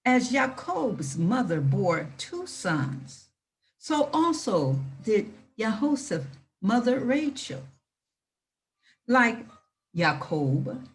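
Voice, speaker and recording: A woman reading aloud in an emphatic, deliberate manner, with informal asides. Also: on the high side, low-quality recording. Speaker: reader with commentary.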